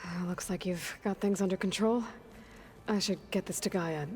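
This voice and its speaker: A resolute young game heroine, voiced by a woman, gives brisk, practical instructions. Her voice sits on the lower side for a woman, with quiet music behind. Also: close to an everyday voice. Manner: direct, practical commands. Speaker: heroine leading a mission.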